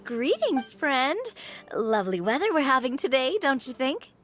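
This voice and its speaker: A woman's voice: high voice, big pitch swings, normal speed, fairly bright tone, soft background music. An actress playing a cheerful, optimistic young woman adventurer with a sunny, chatty, earnest manner.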